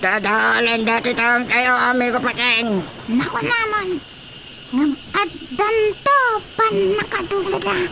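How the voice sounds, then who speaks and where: A man voicing a worried talking sea fish that complains haltingly in a comic radio drama. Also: very high-pitched, full character voice. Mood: worried, complaining. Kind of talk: radio drama comedy acting. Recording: clearly noisy recording.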